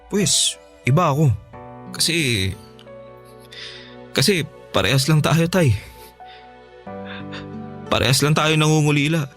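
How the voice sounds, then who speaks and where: A man's voice: fairly high pitch, a bit bright. A man playing a devoted, insistent youngest son talking earnestly to his father in a Filipino radio drama. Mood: tender, reassuring. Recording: music playing behind.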